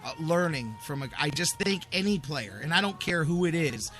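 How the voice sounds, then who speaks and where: A man's voice: a little high, animated, normal speed, a bit bright, soft background music. A man hosting a sports talk radio show, giving opinions in an emphatic, insistent way and repeating his points.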